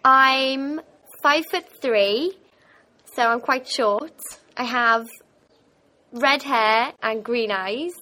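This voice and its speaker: Bright, higher-voiced woman. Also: extremely slow, pauses often, rough recording. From Cardiff, Wales. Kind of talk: self-introduction.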